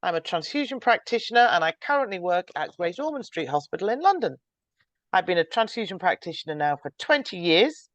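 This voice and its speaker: A woman in her forties or older hosting a podcast, reading a measured, scripted introduction before interviewing a guest. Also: on the low side, no room sound. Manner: clear, measured, reads from a script. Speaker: podcast host, transfusion practitioner.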